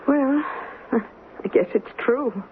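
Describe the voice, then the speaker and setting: A woman's voice: sits high, extremely slow, narrow, tinny audio. A woman acting in a radio drama as an affectionate, reasoning wife, with a coaxing, explanatory and theatrical delivery. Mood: drowsy, coaxing. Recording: thin, noisy old radio broadcast.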